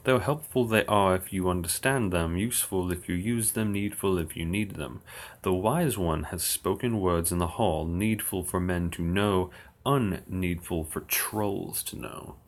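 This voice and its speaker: A man reciting old verse slowly and steadily on his livestream, with dry asides. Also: low-pitched. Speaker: occult streamer. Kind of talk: reading verse aloud on a livestream.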